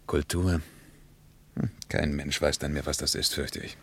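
A man's voice: rumbling low, level tone, a little slow, gravelly, a bit bright, breathy edge, full character voice. An actor playing a skeptical, cultured traveller in a radio play, ironic and musing in his talk.

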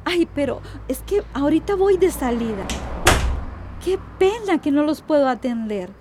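An actress playing an abusive, evasive aunt in a radio drama, shrill and defensive. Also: female voice, sing-song, moderate pace, noisy background. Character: abusive, evasive aunt who holds her niece captive.

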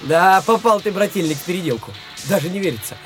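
Sulky teenage little brother, high pitched, needling, radio drama. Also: male voice, lively intonation, fast talker, fairly bright tone, soft background music. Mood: mocking. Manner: mocking, needling, slangy. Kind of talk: Russian radio drama acting.

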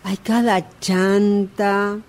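A woman's voice: medium pitch, flat delivery, extremely slow, darkish tone. An actress playing an evasive, self-assured fortune teller in a radio drama, placating her client with theatrical reactions in an Argentine accent.

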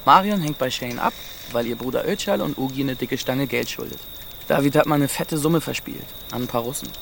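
A man's voice: mid-range voice, a little fast, vocal fry, fairly bright tone, slight background noise. An actor in a radio drama playing a streetwise, matter-of-fact male informant.

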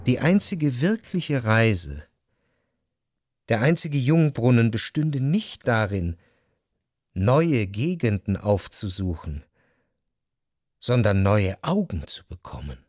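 An actor playing a reflective, refined writer narrating his memories in a measured, literary radio drama manner. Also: mid-range voice, very animated, a little mellow, no room sound, clean studio sound. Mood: contemplative. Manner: measured, contemplative. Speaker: first-person narrator. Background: music behind the voice.

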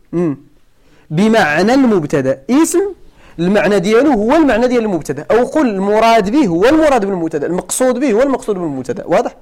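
A middle-aged Moroccan man with a high voice and a very animated, swooping pitch teaches a grammar lesson. He speaks with a Moroccan accent, checks whether his students follow, and repeats each point several times to drive it home. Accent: Moroccan Arabic. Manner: questions the students often, repeats points for emphasis. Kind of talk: classroom lesson.

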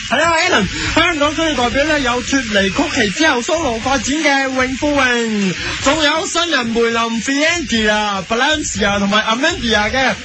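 A man playing an excitable male pop show MC in a comedy parody, showy and rousing the crowd. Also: very high voice, normal speed, hardly any pauses, noisy background. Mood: hyped, showy. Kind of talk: parody TV awards-show hosting.

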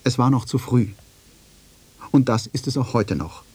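A man's voice: medium pitch, animated, normal speed, a little mellow, breathy edge. A man narrating a scripted radio essay.

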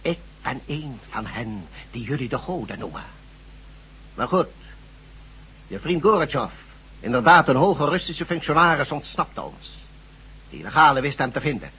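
A man acting in a radio drama as a smug, menacing god posing as a doctor, deliberate and condescending. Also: medium pitch, sing-song, pushed and bright, slightly rough, full character voice, poor audio. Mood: smug, gloating.

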